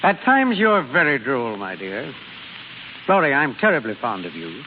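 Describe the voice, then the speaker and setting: A droll middle-aged father in an old radio play. He teases drily with very varied pitch in a fairly high voice. The recording is dull and reverberant. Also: wildly swooping pitch, normal speed, a little hiss or hum. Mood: sardonic. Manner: dry, teasing. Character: droll, sardonic father of grown daughters. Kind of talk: 1930s-40s radio drama acting.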